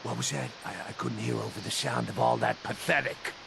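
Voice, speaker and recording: A man playing a bullying, sneering street extortionist in a video game, gravelly and taunting. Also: medium pitch, steady tone, brisk. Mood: taunting threat. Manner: taunting, threatening. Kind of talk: video game character dialogue. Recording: game audio with noisy ambience.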